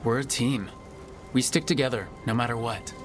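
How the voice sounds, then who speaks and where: A man playing a steady, supportive hero and team leader in a video game, speaking in a calm, reassuring way. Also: medium pitch, normal speed, lightly acted. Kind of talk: video game dialogue acting. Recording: clear game music behind the voice. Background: game music.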